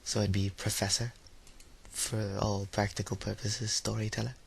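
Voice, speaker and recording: Young man, low creaky voice, tired, rambling solo chat, dry self-deprecating, faint background noise. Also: level tone, normal speed. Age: teenager or young adult, high-school student. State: tired, under the weather. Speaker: YouTuber giving an audio log. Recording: noisy home recording with background hiss.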